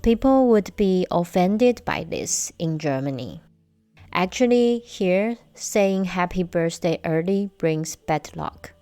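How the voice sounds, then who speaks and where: Slow, low-voiced woman host. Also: lively intonation, warm tone, dry recording, soft background music. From Taiwan. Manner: slow, measured storytelling with light humor. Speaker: podcast host. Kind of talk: casual solo podcast chat.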